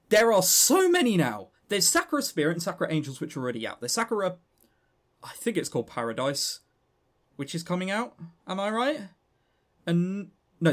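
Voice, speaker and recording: A man with a southern English accent co-hosting a casual gaming news chat, animated, sardonic and sweary in manner. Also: high-pitched. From southern England. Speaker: podcast co-host.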